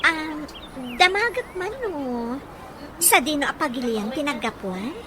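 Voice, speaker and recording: A woman's voice: sits high, very animated, measured pace, a crowd in the background. An actress playing an ambitious, scheming young woman in radio drama style, with an Ilocano accent. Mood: coy.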